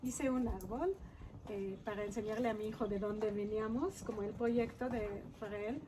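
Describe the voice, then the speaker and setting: A woman author from Israel with an Israeli accent, talking casually in an interview and pausing to search for words. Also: mid-range voice, fairly even intonation. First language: Hebrew. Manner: halting, searching for words in Spanish. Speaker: author guest. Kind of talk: conversational interview. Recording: noisy background.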